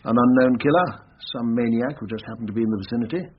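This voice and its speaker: An actor with a British accent playing a brisk, direct, matter-of-fact police investigator in a radio drama. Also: male voice, mid-range voice, natural voice. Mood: probing, official. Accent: British English. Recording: muffled radio broadcast, hissy with clicks.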